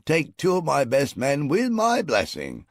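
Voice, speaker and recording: A man voicing a coarse, burly male army general in a storybook, talking in a booming, jovial way. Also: wildly swooping pitch, a little slow, no echo, studio quality, exaggerated voice.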